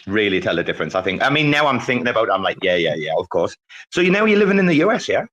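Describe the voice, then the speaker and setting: A man's voice: on the low side, sing-song, racing, a bit bright. A man with a British accent hosting a casual chat in an enthusiastic, chatty way full of informal slang. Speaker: show host.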